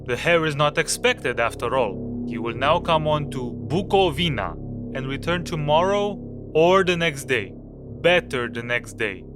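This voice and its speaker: An actor playing a nervous, deferential peasant coach driver in an audio drama, speaking haltingly and formally. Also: male voice, a little high, animated, music in the background. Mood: evasive, uneasy.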